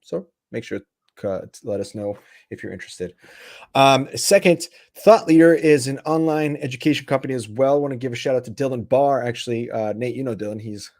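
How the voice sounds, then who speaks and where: A man hosting a podcast in casual chat, upbeat and rambling, with many fillers and restarts as he gives shout-outs. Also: medium pitch, fairly quick, no echo, clean studio sound. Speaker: podcast host.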